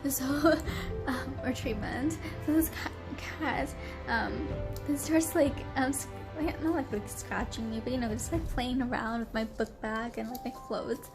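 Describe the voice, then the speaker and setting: A woman's voice: high-pitched, measured pace, over music. A young woman with a stuffy, congested nasal voice, chatting casually in a travel vlog, rambling and giggly with lots of fillers. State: congested from allergies. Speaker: travel vlogger. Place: hotel room.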